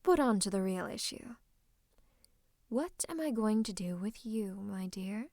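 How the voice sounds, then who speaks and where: A woman's voice: mid-range voice, expressive, unhurried, a little mellow, dry recording, clean studio sound. A woman playing a scheming royal advisor and sorcerer in an ASMR roleplay, smug and mock-courteous, slipping into menacing whispers. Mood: coolly threatening. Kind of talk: ASMR roleplay, theatrical monologue to the listener.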